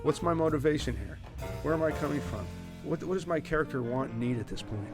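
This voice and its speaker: A man's voice: fairly high pitch, expressive. A man playing a needy, pedantic actor in a comedy, fussy and repeating himself as he complains. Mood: needy, questioning. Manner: fussy, halting, repetitive. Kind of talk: comic audio story acting. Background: music.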